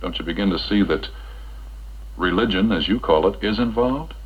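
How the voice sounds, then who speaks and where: An actor playing an earnest, kindly military chaplain in a 1960s educational film, speaking in a measured, gently probing way. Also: male voice, deep voice, husky. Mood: earnest, devout. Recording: dull 1960s film soundtrack.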